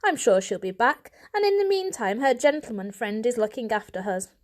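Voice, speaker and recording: A woman's voice: a little high, animated, moderate pace, close to an everyday voice. A woman voicing a complacent, blustering male cat, a father who speaks in a dismissive, assertive way in a storybook.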